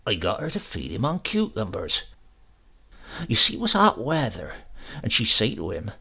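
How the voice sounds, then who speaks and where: A boastful old country uncle from England, acted out by a male reader, swings widely in pitch as he spins tall tales. His voice is husky and gravelly, and he savours every sly phrase of the storybook yarn. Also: wildly swooping pitch, moderate pace, pushed and bright, heavily acted. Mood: smug, boastful.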